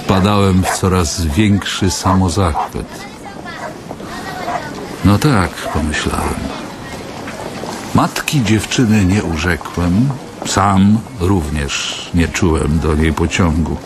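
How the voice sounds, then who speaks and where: An actor playing an awkward, self-absorbed writer who musingly and haltingly tells of a postwar reunion in a radio drama. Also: male voice, low voice. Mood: reflective. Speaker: narrator and lead character. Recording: clear background ambience noise.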